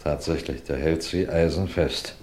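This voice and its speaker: Shrewd police superintendent, very low voice, commanding, measured, questioning, German radio crime play. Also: male voice, fairly even intonation, darkish tone. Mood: stern, explaining. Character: authoritative, shrewd police detective superintendent, first-person narrator.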